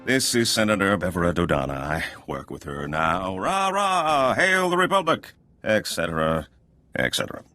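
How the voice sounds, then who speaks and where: Deep, expressive, wry operator. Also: male voice, normal speed, no room sound, soft background music, exaggerated voice. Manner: sardonic. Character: wry male underworld operator working for a senator. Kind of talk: video game cutscene acting.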